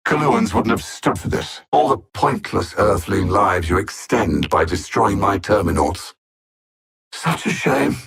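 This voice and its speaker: An actor playing a condescending, coldly calculating alien supervillain in a video game, speaking with haughty, deliberate precision and theatrical mockery. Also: male voice, low-pitched, animated, vocal fry, a bit bright, husky, full character voice. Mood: cold disdain. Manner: haughty, mocking, deliberate and precise, with grand dramatic swoops.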